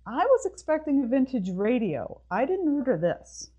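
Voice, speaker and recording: An exasperated, blunt woman in a storybook tale, played by the woman reading it. Her voice jumps up higher and louder, full of indignation. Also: very animated, normal speed, slightly rough, heavily acted. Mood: indignant. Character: blunt, exasperated woman rejecting a delivered baby.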